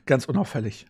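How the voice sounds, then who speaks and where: A man's voice: medium pitch, husky. A man doing casual game commentary, muttering playful asides and reading dialogue aloud. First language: German. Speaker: gamer playing and commenting. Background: faint game music.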